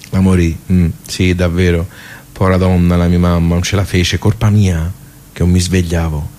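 Deep-voiced Tuscan club regular, stubborn and wistful. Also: male voice, a little mellow, slight background noise. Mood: mournful, halting. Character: stubborn, wistful, simple-minded male social club regular. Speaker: actor. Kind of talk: naturalistic radio theatre dialogue.

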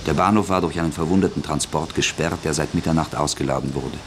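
A man's voice: extremely low pitch, fast talker, background noise. A man reading scripted literary narration for a radio play.

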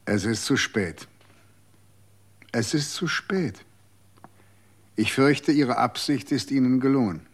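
An actor in a radio drama playing a calm, aloof former guardian of the dead who speaks curtly. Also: male voice, medium pitch, slow, long pauses, breathy edge. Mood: cold, weary. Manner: calm, curt.

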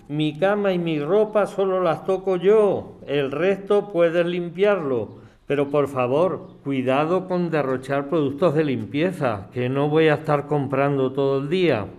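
A man's voice: a little high, a little mellow, natural voice. An actor with an accent from Spain playing a grumpy, distrustful older man in an audio drama, curt and bossy. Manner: curt, grumbling, commanding. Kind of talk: acted audio drama dialogue.

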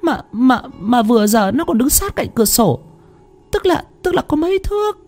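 A man voicing a terrified young wife who stammers in shrill panic. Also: very animated, normal speed, warm tone, slightly rough, soft background music. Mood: panicked stammering.

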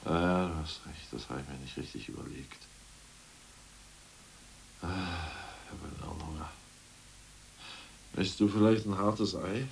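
An actor playing a hungry, scheming, irritable ex-official in a prison cell in a German radio play, with a volatile delivery. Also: male voice, low-pitched, measured pace, gravelly, long pauses, a little hiss or hum. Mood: glum. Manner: volatile, swinging from mutters to outbursts.

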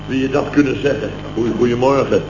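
An actor playing a blustering, self-pitying contractor boss, worn out from lack of sleep, rambling and grumbling in an old radio comedy. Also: male voice, medium pitch, steady tone, brisk, lightly acted. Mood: weary, grumbling. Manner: rambling, repetitive, grumbling exclamations. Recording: echoey, muffled, hissy old radio recording.

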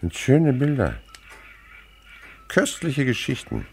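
An actor playing a kindly older naturalist in a radio play, talking in a gentle, musing storyteller's way. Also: male voice, mid-range voice, wildly swooping pitch, slow, heavily acted. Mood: warm, encouraging. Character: kindly older French naturalist, widower, indulgent with a boy. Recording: faint background noise, night insects chirping. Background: crickets and animal sounds.

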